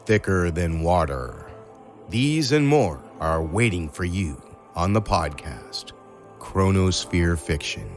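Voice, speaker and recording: Very deep, slow male announcer. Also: animated, faint music behind. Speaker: promo announcer. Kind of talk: scripted podcast trailer narration.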